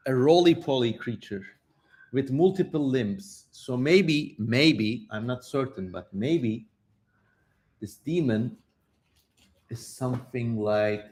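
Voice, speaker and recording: A man with a mid-pitched voice hosts a livestream. He talks in a loose, casual way and comments informally, swearing now and then. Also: takes it slowly, a little mellow, slightly rough. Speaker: livestream host.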